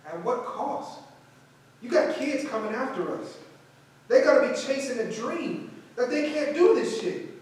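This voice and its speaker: A man's voice: extremely high pitch, expressive, unhurried. A man with an American accent playing a proud, principled, struggling idealist in staged theatrical dialogue. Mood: defiant, proud. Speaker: actor in a staged reading. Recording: very echoey hall, distant microphone.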